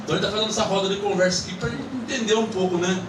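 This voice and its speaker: A man's voice: high-pitched, fairly even intonation, rapid-fire. A man, hosting a panel talk at a small cinema club, rambling with many fillers. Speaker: host. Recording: echoey room, mic from far off, noisy.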